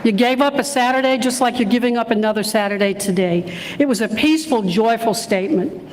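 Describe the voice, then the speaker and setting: Female activist speaker, fairly high voice, prepared speech, reverberant hall with some noise. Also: brisk, darkish tone. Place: large church hall, live event. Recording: noisy, reverberant hall PA recording.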